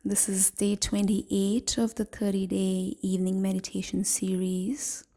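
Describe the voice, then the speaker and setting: A woman leading a guided meditation in a gentle, soothing, half-whispered voice, leaving long pauses between phrases. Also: mid-range voice, completely flat delivery. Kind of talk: guided evening body scan meditation.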